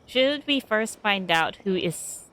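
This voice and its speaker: Slow, hesitant woman commenting on a game. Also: mid-range voice, animated, fairly bright tone, clean tone. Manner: hesitant, repeating phrases. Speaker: game streamer. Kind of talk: casual gameplay commentary.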